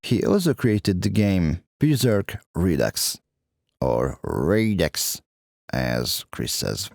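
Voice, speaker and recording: Low-pitched Hungarian-accented man, dry close sound. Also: big pitch swings, slow pace, creaky, a little mellow, no echo. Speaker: musician and video channel host. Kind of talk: casual video update to camera.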